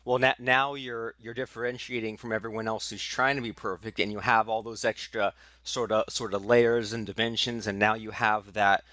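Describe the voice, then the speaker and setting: A man's voice: lowish voice, flat delivery, moderate pace, pushed and bright, dry recording. A man hosting a marketing podcast, eager and chatty, packing his questions with fillers and restarts. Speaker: podcast host. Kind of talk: casual podcast interview.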